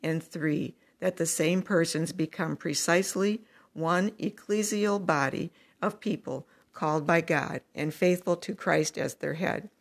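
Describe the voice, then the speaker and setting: Low, gravelly woman reading church teaching. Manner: measured, deliberate reading with numbered points. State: froggy morning voice. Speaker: religious teacher.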